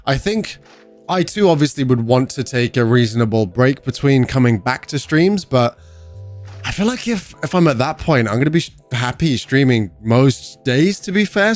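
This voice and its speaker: A man's voice: mid-range voice, lively intonation, moderate pace, soft background music. Chatty young Englishman streaming games. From England. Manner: chatty, reads chat aloud and answers viewers. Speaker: livestream host. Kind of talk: casual livestream chat while gaming.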